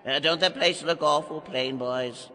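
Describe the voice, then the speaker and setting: An actor playing an excitable, gleefully eerie ringleader in an audio drama, exclaiming and urging in a declamatory way. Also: male voice, a little high, measured pace. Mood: sly, playful.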